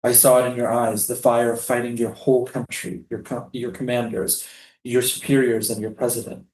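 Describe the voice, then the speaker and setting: A man reading the part of a tender, defiant Palestinian refugee prisoner in a staged play, lyrical and deliberate. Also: mid-range voice, flat delivery, a little mellow, lightly acted. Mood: intimate, dreamy. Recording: roomy, echoing live stage reading.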